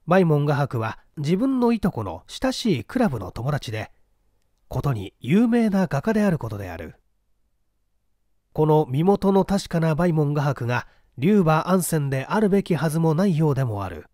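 A man narrating a mystery novel as a dramatic literary reading, with theatrical, deliberate phrasing. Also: fairly high pitch, warm tone, no echo, studio quality. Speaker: audiobook narrator.

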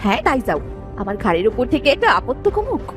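A woman voicing a demanding, sharp-tongued young village wife in a cartoon folktale, nagging and sarcastic. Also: a little high, huge pitch swings, fast, music in the background. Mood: scornful, angry. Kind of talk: fairy-tale cartoon acting.

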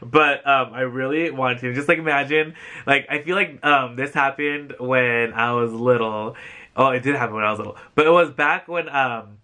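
A man in his thirties, from the Philippines, whose first language is Tagalog, chatting in a bubbly, animated way about thrift finds from his living-room sofa. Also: medium pitch, normal speed. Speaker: vlogger. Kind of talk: casual thrift haul chat.

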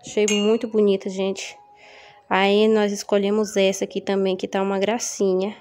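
A Brazilian woman with a mellow, slightly hoarse voice from a cold chats casually to her viewers. She keeps asking little tag questions as she goes. She is recorded on a phone in a home kitchen. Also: medium pitch, little pitch movement, moderate pace, faint music behind. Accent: Brazilian Portuguese. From Maranhão, Brazil. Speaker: vlogger. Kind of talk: casual haul vlog. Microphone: phone camera held over table.

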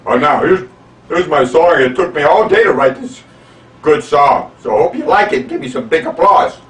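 A man voicing a proud male rhino puppet who sings simple rhymes in a put-on deep voice. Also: expressive, fairly bright tone, recorded from a distance. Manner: slow sing-song rhymes, put-on deep voice. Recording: roomy home video from afar.

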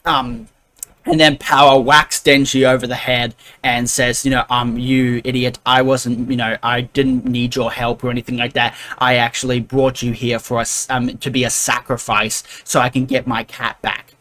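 Flat-voiced man rambling through an anime recap. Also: medium pitch, moderate pace. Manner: rambling, many fillers and restarts. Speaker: anime reviewer. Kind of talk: casual episode recap.

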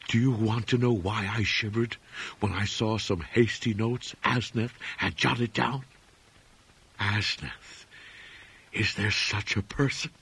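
A man's voice: fairly bright tone, breathy edge, dry recording, exaggerated voice. A man voicing a terrified, weak-willed man in a horror audiobook, raving in an agitated, urgent ramble with a thin voice. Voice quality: thin, rising toward shrill. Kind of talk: dramatic audiobook character voice.

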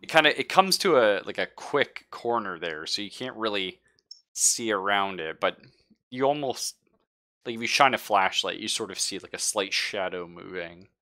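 A man narrating a tabletop game as game master, in informal, halting descriptive phrases. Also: lowish voice, animated, pushed and bright.

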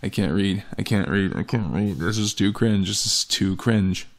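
A man's voice: lowish voice, moderate pace. A man giving a comic dramatic reading of text messages, with sardonic, exasperated delivery. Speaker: host and voice actor. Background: background music.

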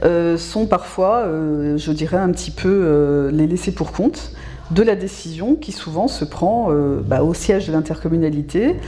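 Middle-aged woman, mellow voice. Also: lowish voice, normal speed. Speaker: local government specialist being interviewed. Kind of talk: interview answer, explanatory. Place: small indoor office.

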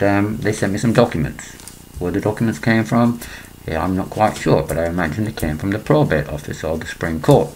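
A man's voice: on the low side, darkish tone, slight background noise, poor audio. Older man reading and commenting. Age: 60s. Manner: rambling, breaks off his reading to comment. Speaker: vlogger. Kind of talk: reading a letter aloud with personal asides. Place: small room indoors at home.

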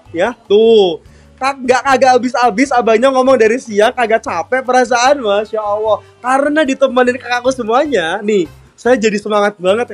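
A man with a strikingly high voice hosts a live-selling stream from a bakery kitchen. He chats on and on, upbeat, calling out to viewers and reading their comments. Soft music plays faintly in the background. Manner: chatty and upbeat, constantly addressing viewers warmly. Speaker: live-stream sales host.

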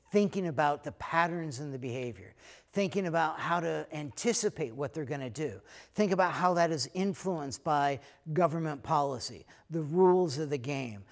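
A middle-aged American man, head of an economics school, talking casually to prospective students in a relaxed way, with many fillers. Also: on the high side. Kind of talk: recorded talk to prospective students. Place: lecture room, then plain backdrop indoors.

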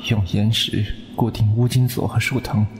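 Radio drama wuxia role: calculating young swordsman, male actor, icy, flat, matter-of-fact, in an echoey space. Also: on the low side, moderate pace, a little hiss or hum, lightly acted. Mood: cold, composed. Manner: terse, controlled, matter-of-fact. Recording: echoey, loud music underneath.

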